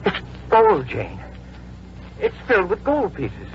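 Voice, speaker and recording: A troubled farmer in a vintage radio play. He is halting and distraught, his pitch rising and falling widely. The sound is dull, with faint noise behind it. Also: wildly swooping pitch, full character voice. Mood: possessive, agitated. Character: anguished, weary farmer who sold his soul. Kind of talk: 1940s radio acting. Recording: muffled, noisy old radio broadcast.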